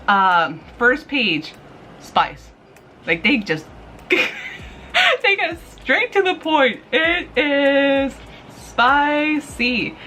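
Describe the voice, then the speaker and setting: Female vlog reviewer, highish voice, gushing and playful, chatty delivery, noticeable background noise. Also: pushed and bright. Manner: gushing, giggly, playful, informal with mild swearing. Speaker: host of a book review vlog. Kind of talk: casual chatty review to camera.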